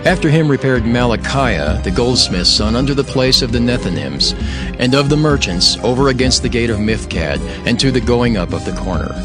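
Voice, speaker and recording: A man narrating scripture for an audio drama in a solemn, formal and measured way. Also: medium pitch, expressive. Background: music.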